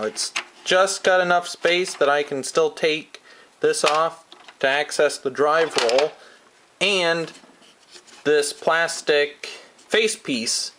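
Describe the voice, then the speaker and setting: A man giving a hands-on how-to lesson at a workshop bench, explaining each step slowly and methodically as he works. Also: a little high, background noise. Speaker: DIY welding instructor.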